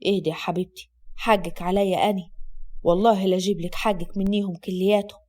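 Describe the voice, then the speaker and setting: A woman voicing a stern but tender young husband, speaking low, soft and firm. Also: steady tone, quick pace, close to an everyday voice.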